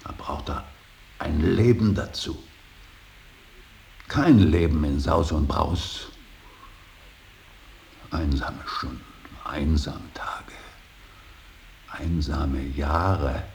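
An actor playing a stern, brooding old painter and father in a radio drama, solemn and intense, moving between hushed breathy words and forceful declaiming. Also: extremely low pitch, extremely slow, pauses often, heavily acted. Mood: hushed reverence. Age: older. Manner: solemn, intense, swings from hushed whisper to outburst. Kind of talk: 1980s German radio drama acting. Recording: hissy radio drama recording.

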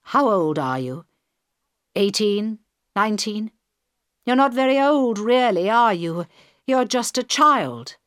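A woman voicing a middle-aged male passenger and father, speaking low and measured. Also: very animated, a bit bright, clear, resonant tone, dry recording, clean studio sound. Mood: arguing.

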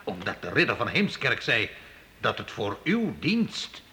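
A man's voice: on the low side, huge pitch swings, unhurried, bright, forceful tone, exaggerated voice. An actor playing a bold, outspoken medieval townsman in a Dutch radio play, protesting with indignant insistence. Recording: reverberant hall, faintly noisy radio play.

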